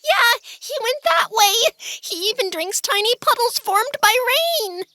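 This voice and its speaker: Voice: very high-pitched, lively intonation, unhurried, pushed and bright, exaggerated voice. A performer voicing a small, anxious young animal in a children's story, earnest and pleading. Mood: worried, pleading. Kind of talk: children's storybook character acting.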